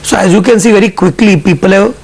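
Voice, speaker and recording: A middle-aged man, explaining company plans in a measured, businesslike way in a news interview. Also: sits high, creaky, a little mellow, slightly rough. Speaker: CFO guest.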